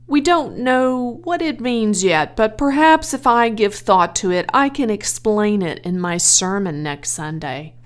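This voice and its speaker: A woman voicing an earnest, authoritative male country minister in a storybook, with a formal, measured delivery. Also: mid-range voice, lively intonation, natural voice. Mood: grave, earnest.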